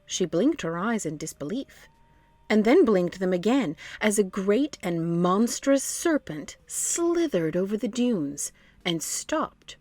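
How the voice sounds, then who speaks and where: A woman narrating a children's storybook in an expressive, deliberate storytelling manner. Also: medium pitch, no room sound.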